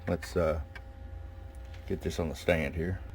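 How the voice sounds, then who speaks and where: A man giving a casual, hands-on product review in a folksy, unhurried way with pauses. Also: rumbling low, fairly even intonation. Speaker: shop owner presenting product. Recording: clear background noise and room ambience. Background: background noise.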